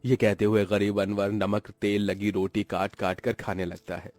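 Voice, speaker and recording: Low-voiced male story narrator. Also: level tone, normal speed, a little mellow, dry recording, faint music behind. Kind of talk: scripted cartoon story narration in Hindi.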